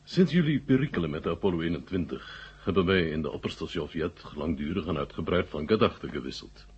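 A male actor playing a genial, sly Soviet marshal in a Dutch radio drama, with a husky voice and deliberate, halting phrasing. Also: low voice, expressive, full character voice. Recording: muffled old radio recording.

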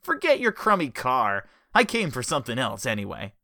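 A man's voice: mid-range voice, huge pitch swings, normal speed, pushed and bright, no echo, clean studio sound, exaggerated voice. A man voicing a gloating, vengeful male crook who threatens with a knife. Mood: threatening.

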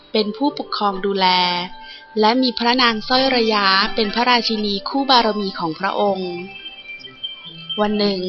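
A woman narrates a children's storybook from a script. Her voice is on the high side. The sound is slightly dull, and music plays clearly underneath. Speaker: audiobook storyteller. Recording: dull lo-fi audio with music behind.

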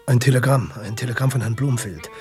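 An actor playing an excited, hurried hotel employee in a radio play. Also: male voice, mid-range voice, music in the background, lightly acted. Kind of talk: German radio play acting.

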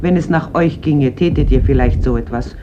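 A woman's voice: extremely low pitch, normal speed. Radio drama: strict, weary fishwife in her forties, probing and reproachful, dull hissy old recording. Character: stern, weary forty-year-old fisherman's mother, wary of war. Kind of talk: stage-like radio drama acting. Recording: muffled old radio recording, clear hiss.

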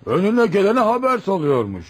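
A skeptical, indignant village man in a radio drama. He speaks emphatically in a slightly high, rough-edged male voice, with music in the recording. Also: wildly swooping pitch, normal speed, exaggerated voice. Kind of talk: Turkish radio theatre acting.

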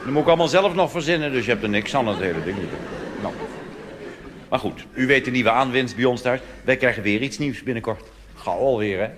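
Wry Dutch cabaret comedian on stage. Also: male voice, mid-range voice, expressive, brisk, a bit bright, slight background noise. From Netherlands. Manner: chatty, wry, full of asides and tag questions. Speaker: cabaret performer. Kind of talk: stand-up cabaret monologue. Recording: live stage recording with audience. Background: audience laughter and applause.

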